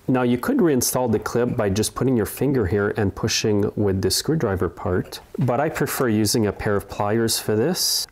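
A man's voice: on the low side, a little mellow, slight background noise. A middle-aged man narrating a hands-on repair tutorial in a plain-spoken, step-by-step way. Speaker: small engine repair host. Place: indoor repair workshop.

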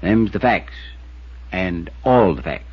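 An actor playing a laconic, plain-spoken country constable with a rural American accent in a 1950s radio drama. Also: male voice, deep voice, animated, slow pace, slightly rough, exaggerated voice. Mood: stubborn, resigned. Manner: laconic, matter-of-fact, homespun. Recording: dull, noisy old radio recording.

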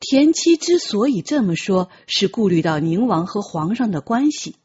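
A woman narrates an audiobook with dramatic, lively intonation. Her voice is quite husky, and the recording is slightly dull. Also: mid-range voice, moderate pace.

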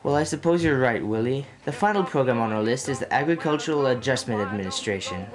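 A teenage man playing a composed, formal 1930s radio host, speaking in a measured, dry way from a script. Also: medium pitch, lively intonation, natural voice. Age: teens. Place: small wood-panelled room indoors. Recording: music playing over the voice.

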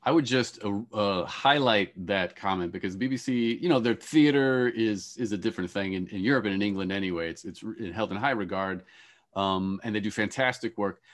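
Lower-pitched middle-aged man moderating. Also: fairly quick. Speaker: panel host, moderator. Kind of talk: conversational panel moderation. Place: room with shelves of boxes. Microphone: video call, earphones.

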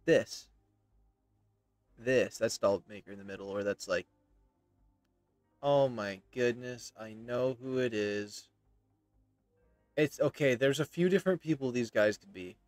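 A man's voice: medium pitch, unhurried, long pauses, a little mellow, no echo, faint music behind. A man in his thirties doing casual, rambling, hesitant gameplay commentary and reading game dialogue aloud as he streams. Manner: rambling, hesitant, self-interrupting.

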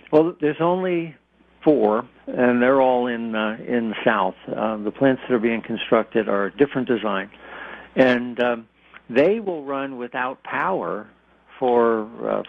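Over a thin phone line, a man in his sixties gives expert explanations for a radio interview. His gravelly voice sits at a medium pitch, and he talks plainly, reaching for everyday comparisons. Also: measured pace, no room sound. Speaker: expert guest, former nuclear industry executive.

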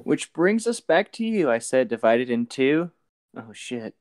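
Sarcastic guy goofing around and reading stuff out dramatically, pitch jumps all over. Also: big pitch swings, measured pace, a little hiss or hum. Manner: jokey, sarcastic. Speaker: co-host of a group read-along commentary show. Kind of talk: joking chat and theatrical reading aloud.

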